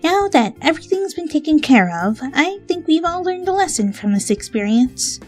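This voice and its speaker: A woman's voice: high voice, sing-song, moderate pace, long reverb tail, faint music behind, voice pushed up. A woman voicing an earnest, bookish young female cartoon pony with a prim, lecturing manner.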